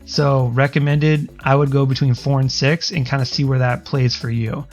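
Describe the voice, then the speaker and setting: A man with a self-described higher-pitched voice talks through settings in a casual, rambling way, often restarting his sentences and filling gaps with ums. His pitch sits in a middle range for a man. Quiet music plays faintly underneath him. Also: little pitch movement, moderate pace. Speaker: streamer explaining audio settings. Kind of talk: casual screen-recorded tutorial.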